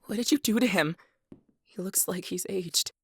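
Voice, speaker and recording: A woman's voice: mid-range voice, moderate pace, slightly rough. A woman playing a bitter, defiant eldest daughter in an audio drama, blunt, sardonic and combative. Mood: wary, defiant. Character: defiant, bitter firstborn daughter fighting for her siblings.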